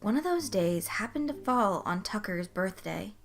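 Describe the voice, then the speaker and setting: A woman's voice: mid-range voice, animated, moderate pace. A woman reading scripted fan fiction as its narrator.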